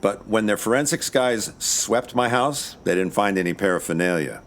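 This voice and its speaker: An actor playing a laid-back, wry American lawyer and amateur sleuth in an audio drama, talking in a casual, understated way. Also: male voice, lowish voice, lively intonation, normal speed, a bit bright, a little hiss or hum. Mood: thoughtful, skeptical. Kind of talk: audio drama dialogue and narration.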